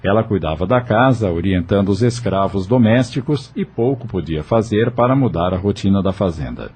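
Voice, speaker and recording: A man's voice: fairly low pitch, lively intonation, moderate pace, a little mellow, slightly rough. A man narrating a dramatic audio novel as a scripted storyteller. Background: background music.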